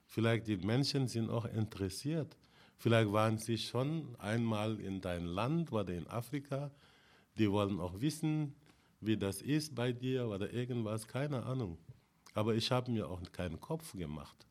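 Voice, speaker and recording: A man from Senegal speaks German in a relaxed conversation. His pitch moves around a lot, and he keeps dropping in short agreeing words as he talks. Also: normal speed. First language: not German. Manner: warm, repeats short affirmations, laughs often. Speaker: guest. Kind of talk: casual conversation.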